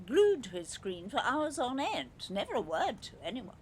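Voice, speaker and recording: A woman's voice: on the high side, very animated, normal speed, slight background noise. An actress playing a haughty, disapproving grandmother in an audio play, with imperious, complaining, formal speech. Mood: indignant scolding. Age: older.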